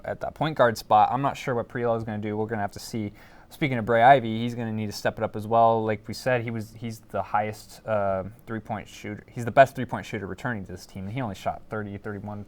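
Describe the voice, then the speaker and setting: A man giving opinions as a panelist in a casual sports discussion, often repeating words as he goes. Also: mid-range voice.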